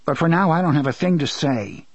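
A man's voice: on the high side, brisk, no echo, lo-fi sound, voice pushed up, lightly acted. A man voicing an angry, defiant teenage boy who talks back sharply. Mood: tired, firm.

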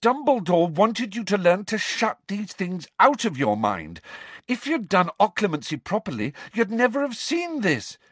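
A man's voice: on the high side, sing-song, moderate pace, husky, no room sound, voice pushed up. A man voicing an anxious, insistent, clever teenage girl in an audiobook, pleading and precise. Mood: fierce, worried. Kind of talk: audiobook character voice.

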